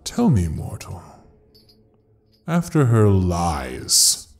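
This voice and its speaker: An actor playing an ancient devil who rules hell, taunting and theatrical in an audio roleplay with a drawn-out delivery. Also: male voice, deep voice, darkish tone, exaggerated voice. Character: ancient, mocking male devil ruling hell. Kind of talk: dramatic audio roleplay acting.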